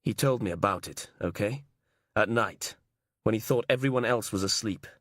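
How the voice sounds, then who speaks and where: A man's voice: lowish voice, normal speed, a bit bright, dry recording. A man reading a whiny, self-pitying male inmate who puts on a fake posh accent. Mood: shaken. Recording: dry close studio sound.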